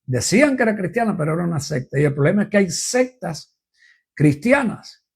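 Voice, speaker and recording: A man's voice: high-pitched, very animated, brisk, vocal fry, husky. An older man from Cuba, preaching informally on a live program, rambling through his testimony and repeating refrains.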